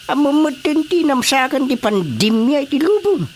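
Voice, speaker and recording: A weary fisherman father grumbles in a radio drama. His pitch swings widely, and faint noise sits behind the voice. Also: very animated, moderate pace. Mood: weary, resigned.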